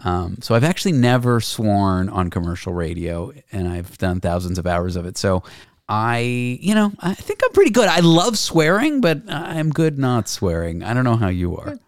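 A man's voice: fairly low pitch, very animated, moderate pace, dry recording. Canadian man, podcast host, self-deprecating and rambling, dry room sound. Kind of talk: casual chat.

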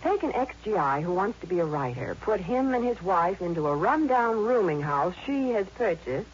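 Animated, husky American 1950s radio newswoman. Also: mid-range voice, normal speed. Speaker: radio movie-news reporter. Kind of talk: scripted 1950s radio promotion. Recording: dull vintage 1950s radio recording.

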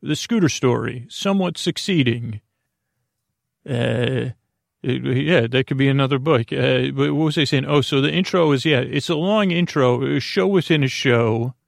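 A man hosting a bedtime sleep podcast in a creaky, lulling voice, meandering through goofy tangents and halting, stammered self-corrections. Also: mid-range voice, dry recording, studio quality. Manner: soothing, lulling; goofy, whimsical. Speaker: podcast host.

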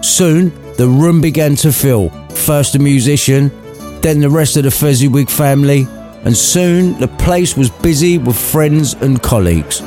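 A man's voice: fairly high pitch, a little slow, dark tone, faint music behind. A man narrating a scripted story in an irreverent way, with cheeky, sweary asides to the listener.

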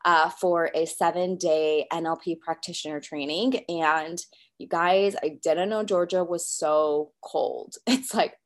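This is a young woman hosting a casual podcast, stuffed up and slightly nasal from a cold. She talks in a bubbly, gushing way and laughs easily. Her voice sits a little on the low side. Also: steady tone, measured pace. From California. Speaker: podcast host. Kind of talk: upbeat podcast intro.

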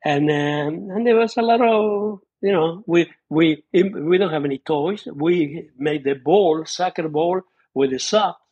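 An older man in his eighties with an Italian accent, a fairly high voice for a man, speaking English as a second language. He tells his story earnestly and haltingly, pausing and restarting as he reaches for the right words. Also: darkish tone, slightly rough, highs cut off. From Italy. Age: 80s. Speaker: guest, retired surgeon and author. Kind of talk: reminiscing interview storytelling.

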